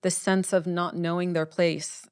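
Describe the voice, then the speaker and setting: Smooth-voiced woman writer, close and dry. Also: fairly low pitch, little pitch movement, unhurried, no room sound. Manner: thoughtful, repeats words while forming ideas. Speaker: writer, podcast guest. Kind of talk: candid conversational interview.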